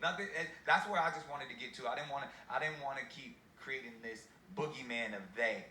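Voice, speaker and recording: Brief: male host, bright forceful tone, chatty and affirming, roomy echo. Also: medium pitch, brisk. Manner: conversational, keeps affirming, cites books. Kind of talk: casual discussion. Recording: echoey room, faint background noise.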